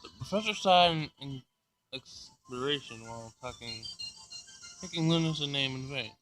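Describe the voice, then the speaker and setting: A man's voice: mid-range voice, expressive, moderate pace, a bit bright, low-quality recording. A man reading a visual novel aloud for a gaming video, stumbling and repeating words, with asides of his own. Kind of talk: let's play read-aloud with asides. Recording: music behind the voice. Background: music.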